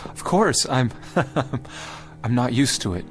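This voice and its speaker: An actor playing a bewildered, urgent man stranded in the past, halting and insistent, in a radio drama. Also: mid-range voice, big pitch swings, faint music behind. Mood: awkward, polite. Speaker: lead actor.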